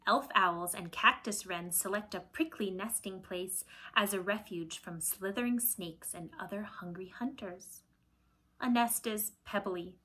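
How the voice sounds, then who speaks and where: Breathy woman reading a picture book to children. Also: medium pitch. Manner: deliberate, expressive storytelling, often dropping to a hush. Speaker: Montessori teacher reading aloud to children. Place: small indoor room at home with shelves.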